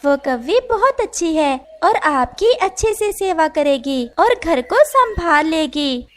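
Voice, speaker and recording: A woman voicing a kind female sparrow who gives polite, gentle advice. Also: extremely high pitch, sing-song, slow pace, pitched above the performer's own voice, exaggerated voice.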